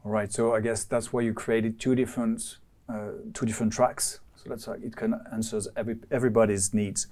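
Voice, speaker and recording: A man's voice: lowish voice, little pitch movement, fairly quick, a little mellow. A man interviewing students and staff, asking questions informally with some stumbling.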